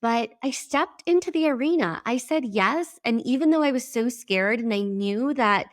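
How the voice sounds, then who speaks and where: A woman's voice: a little high, lively intonation, moderate pace. A young adult woman from El Salvador, whose first language is Spanish, hosting her podcast in a chatty, emphatic monologue with frequent "like" and casual swearing. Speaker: podcast host.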